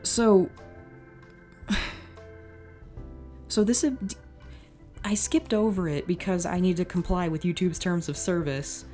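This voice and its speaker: A woman's voice: lowish voice, takes it slowly, long pauses, mellow, soft background music. A young woman reading a fan fiction aloud and breaking off into exasperated, sarcastic comments. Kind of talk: dramatic reading with comic asides.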